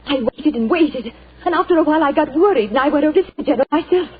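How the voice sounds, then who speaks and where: An actress playing a frightened stage actress in a 1940s radio drama, speaking in an anxious, pleading way. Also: female voice, high-pitched, lively intonation, racing. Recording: tinny old radio broadcast.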